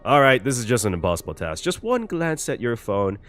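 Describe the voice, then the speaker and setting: A man doing casual, expressive game commentary as a reaction streamer, swearing casually and exclaiming in dismay. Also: mid-range voice, a little fast. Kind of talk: casual game commentary, reading story text aloud. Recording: game music behind the voice.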